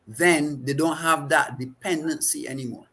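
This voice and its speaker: A man's voice: on the high side, fairly even intonation, a bit bright, breathy edge. Barbadian-accented man in his forties. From Saint Vincent and the Grenadines. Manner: reflective, halting with repeated restarts. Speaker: counselor and guest. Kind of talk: interview answers.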